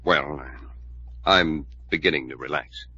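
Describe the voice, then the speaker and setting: An actor playing a tough, terse man in a 1940s radio thriller, speaking deliberately. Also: rumbling low, pushed and bright, breathy edge, exaggerated voice. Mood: coolly threatening. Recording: muffled old radio recording.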